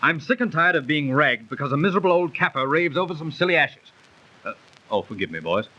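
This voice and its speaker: An actor playing a dashing, devoted young British army captain who scorns superstition, in the theatrical style of thirties radio drama. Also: male voice, fairly high pitch, sing-song, quick pace, bright, forceful tone, heavily acted. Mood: defiant. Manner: ardent, theatrical, gallant. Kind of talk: 1930s radio drama acting. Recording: muffled old 1930s radio recording.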